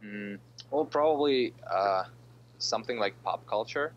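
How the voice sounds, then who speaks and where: A man's voice: fairly low pitch, expressive, unhurried. A man in his early twenties from Russia who speaks with an American accent, answering interview questions casually and hesitantly, thinking as he goes. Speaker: interviewee, political science master's student. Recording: dull lo-fi sound with faint hum.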